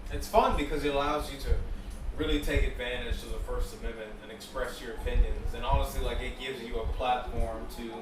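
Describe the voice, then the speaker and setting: A man speaking as a podcast guest, moving between reading aloud and casual talk. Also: medium pitch. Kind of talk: reading aloud from an ancient epic and casual chat. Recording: very echoey, distant mic, music behind.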